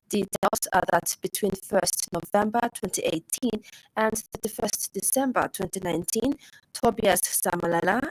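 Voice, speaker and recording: A woman with a Zambian accent reads a radio news bulletin. Her voice sits at an average pitch, and her delivery is formal and measured. The sound is close and dry, with little room on it. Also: no echo, rough recording. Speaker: news reader. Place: radio studio.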